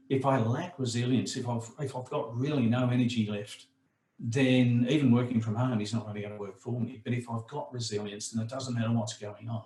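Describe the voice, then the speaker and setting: Man, mid pitch, croaky today, chatty webinar presenter explaining ideas, heard in an echoey room. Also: a little mellow. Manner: conversational, explanatory, frequent fillers.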